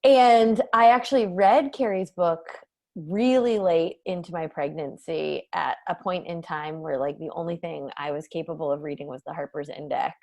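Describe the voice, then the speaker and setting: Lower-pitched woman with heavy vocal fry. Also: moderate pace, a little mellow. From Massachusetts, United States. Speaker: author and guest speaker. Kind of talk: casual, reflective conversation. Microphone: video call.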